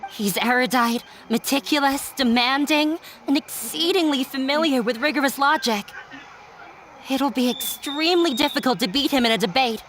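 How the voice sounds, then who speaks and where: A serious young woman of learning from a video game. The voice sits fairly high, and the delivery is frank, measured and pessimistic. There is faint noise underneath. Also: fairly bright tone. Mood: blunt. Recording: faintly noisy game capture.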